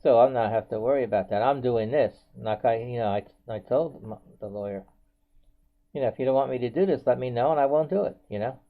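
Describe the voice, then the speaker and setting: A man's voice: mid-range voice, a little fast. An American man in his seventies talking casually and unscripted to viewers, rambling and circling back with frequent filler phrases. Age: 70s. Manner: rambling, repetitive, often says "you know". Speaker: video blogger. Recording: dull, band-limited home video audio.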